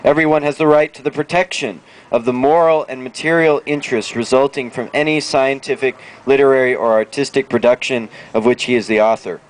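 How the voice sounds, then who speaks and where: A man with a medium-pitched voice reads a formal document aloud. He speaks as a reader at a public outdoor event. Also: fairly even intonation, brisk, poor audio. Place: outdoor town plaza.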